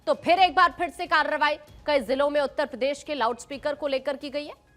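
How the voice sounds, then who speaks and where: A woman's voice: high-pitched, steady tone, a little fast, a bit bright. A woman anchoring live TV news, presenting in an emphatic, repetitive headline style. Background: news music bed.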